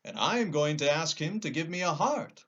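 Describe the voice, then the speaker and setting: A man voicing an earnest, wistful tin woodman in a storybook, reasoning in a measured manner. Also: fairly high pitch, sing-song, fairly bright tone, dull audio, voice pushed up, exaggerated voice. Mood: hopeful. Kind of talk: storybook character voice.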